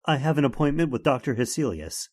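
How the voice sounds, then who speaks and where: Man playing an earnest, polite visitor, animated intonation. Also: mid-range voice, fast talker. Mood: earnest, concerned. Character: earnest worried man seeking help for fiancée. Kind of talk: old-time radio horror acting.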